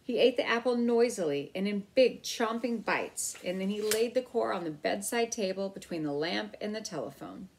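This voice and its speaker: A woman narrating a children's novel aloud in an expressive storybook style, acting out the dialogue. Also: medium pitch, normal speed, hardly any pauses, slight background noise, poor audio.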